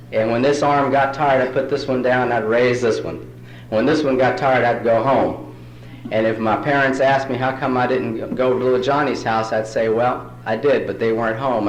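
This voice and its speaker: A man's voice: mid-range voice, a little hiss or hum. A man from New York City, whose first language is Spanish, telling folksy jokes and self-deprecating stories as a speaker at a recovery meeting. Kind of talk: storytelling talk at a recovery meeting. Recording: roomy hall recording.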